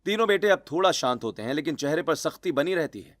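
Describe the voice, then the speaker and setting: A man's voice: on the high side, lively intonation, fast talker. A man reading scripted, dramatic story narration.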